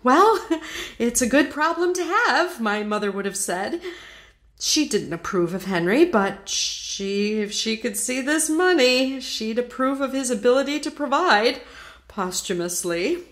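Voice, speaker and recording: A woman's voice: a little high, expressive, a little slow, fairly bright tone. A woman playing a greedy, cold-hearted widow in an old-time radio drama, with a haughty, imperious way of talking. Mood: smug.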